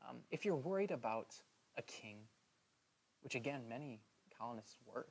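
A man teaching a history lesson over slides in a casual, chatty way, filling pauses with "um" and checking in with "right?". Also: mid-range voice, animated, a little mellow, slightly rough, poor audio. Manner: chatty, many "um"s and "right?" asides.

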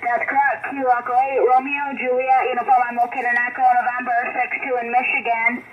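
A woman's voice: on the high side, level tone, a little fast. A woman working as a ham radio operator, giving brief call signs and reports over the radio. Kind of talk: brief call-sign exchange. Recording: tinny, noisy shortwave radio reception.

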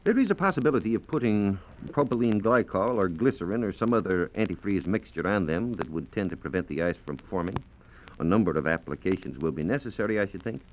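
A deep-voiced American man explains science in a formal, scripted 1940s radio style. His pitch moves in a lively way through measured sentences. The sound is dull and band-limited, from an old transcription disc. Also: slight background noise. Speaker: science reporter on a radio program.

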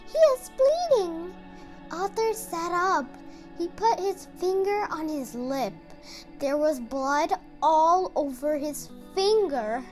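High-voiced woman reading a children's story. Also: animated, takes it slowly, over music, low-quality recording. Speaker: storybook reader. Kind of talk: children's read-aloud narration.

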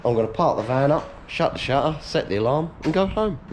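A man in his thirties, slightly hoarse, chatting casually to a head-mounted camera while he strips out his workshop. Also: fairly high pitch, lively intonation, a little fast, background noise. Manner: chatty, upbeat, matter-of-fact while working. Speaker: workshop owner vlogging. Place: large workshop being stripped out, indoors. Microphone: head-mounted action camera.

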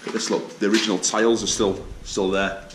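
A man's voice: fairly low pitch, level tone, a little fast, background noise. A young man, walking through an empty old building and narrating casually with awe, recorded on a handheld camera. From England. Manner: chatty, awed, points things out. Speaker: urban explorer. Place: large empty indoor building. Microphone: handheld action camera.

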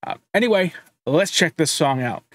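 A man's voice: fairly high pitch, moderate pace, no room sound. Chatty man hosting a music reaction. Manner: relaxed, chatty, many um fillers. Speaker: reaction channel host. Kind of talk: casual music reaction commentary. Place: home studio room.

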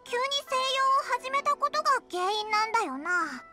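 A woman's voice: extremely high pitch, very animated, normal speed, pushed and bright, faint music behind, full character voice. A woman voicing a small childlike fairy companion in an anime game, blunt and casual in her phrasing. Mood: worried, pondering.

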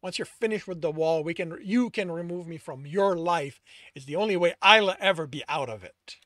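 A man voicing a stubborn, heartbroken young man who yells bitter accusations. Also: a little high, normal speed, dry recording, clean studio sound, natural voice. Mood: grim resolve.

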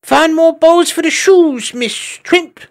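A man's voice: very high voice, slow, voice pushed up, exaggerated voice. A man voicing a small male squirrel child who gives bossy orders in broken, childish grammar.

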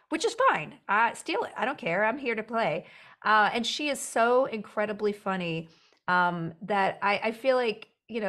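Young-sounding woman with a headset. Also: mid-range voice. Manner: animated, laughs often, quick asides. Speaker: actor and writer guest. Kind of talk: casual interview chat. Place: home room with bookshelves.

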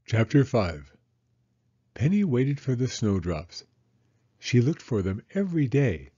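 A man reading a children's storybook aloud in a deliberate, simply phrased narration. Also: mid-range voice, very animated, dark tone, slightly rough, no room sound, muffled recording. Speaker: storybook reader.

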